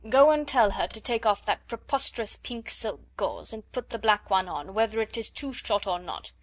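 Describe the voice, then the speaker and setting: A woman's voice: medium pitch, animated, moderate pace, no echo, natural voice. A woman voicing a stern middle-aged headmistress who gives cold, scornful commands. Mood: furious.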